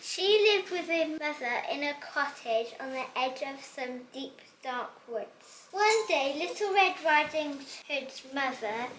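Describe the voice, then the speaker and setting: A woman's voice: high voice, animated, takes it slowly, few pauses, a bit bright. A female reader giving scripted storybook narration for children, recorded at home. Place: at home, indoors. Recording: echoey room, faint background noise.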